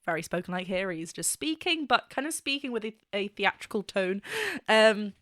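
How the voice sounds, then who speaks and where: A woman's voice: mid-range voice, expressive, normal speed, fairly bright tone, no echo. Upbeat woman vocal coach, dry close mic. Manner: enthusiastic, laughs often, sings snippets to demonstrate. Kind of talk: reaction and analysis.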